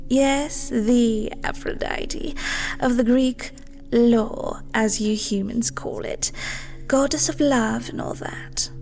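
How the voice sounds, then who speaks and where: A woman's voice: on the high side, takes it slowly, vocal fry, darkish tone, in a whisper. A woman playing a haughty, flirtatious goddess of love, grand, breezy and condescending in an audio roleplay.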